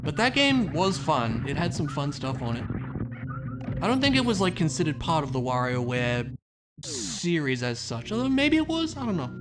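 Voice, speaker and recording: A young man from Australia streaming a game and chatting casually with his viewers, relaxed and talkative. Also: on the high side, moderate pace, noisy background. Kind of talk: casual chat while gaming, reading chat.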